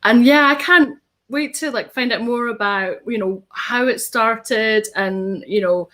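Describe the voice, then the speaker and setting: A woman's voice: a little high, measured pace, fairly bright tone. A woman in her thirties hosting a live online show from home over a webcam, bubbly and upbeat with many ums. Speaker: host. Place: home studio with tinsel backdrop.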